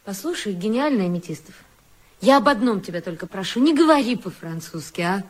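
Dry ironic woman, stage delivery, lively melody. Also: mid-range voice, a little fast. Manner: cool, ironic, coaxing. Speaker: actress in a radio play. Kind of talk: theatrical radio play acting.

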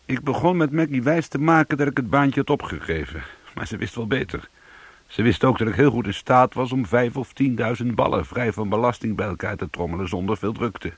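An actor playing a weary, defensive but soothing ex-convict in a radio drama, with a husky voice. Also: male voice, mid-range voice, lively intonation, fairly quick. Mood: reflective, matter-of-fact. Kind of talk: radio drama acting and first-person narration.

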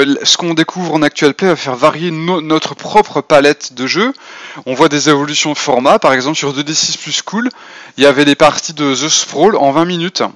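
A man's voice: mid-range voice, a bit bright, dry recording. A man giving a semi-prepared podcast talk on role-playing, hesitant and full of fillers and restarts. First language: French.

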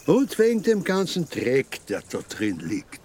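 An actor playing a simple, gruff, stubborn village man with an Austrian accent, drawn-out and childlike in his directness, in a radio drama. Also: fairly high pitch, huge pitch swings, a little mellow, exaggerated voice. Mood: matter-of-fact.

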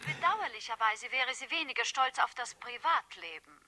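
A high, very bright female voice as a genteel blackmailer in a seventies radio drama. She is sugary and over-polite, with an insinuating edge. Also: expressive, normal speed. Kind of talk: 1970s radio play acting.

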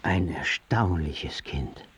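An actor in a radio play playing a reluctant father and anguished artist, husky and pointed, with a dry, sardonic manner. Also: rumbling low, animated, slow, a bit bright, heavily acted. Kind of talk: radio drama dialogue.

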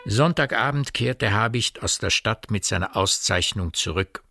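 An actor as a measured narrator, delivering scripted literary narration in a radio drama. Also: male voice, on the low side, expressive, vocal fry, pushed and bright, dry recording, clean studio sound, faint music behind, heavily acted.

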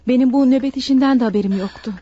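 An actress playing a sober member of a household giving a report in a Turkish radio play. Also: female voice, sits high, very fast, mellow, lo-fi sound. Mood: sober, concerned. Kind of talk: Turkish radio theatre acting.

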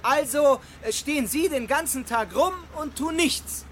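A man's voice: extremely high pitch, measured pace, fairly bright tone, a little hiss or hum. An actor playing a stubborn, put-upon homeowner, a man with dry sarcasm in a German radio comedy. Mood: politely cajoling.

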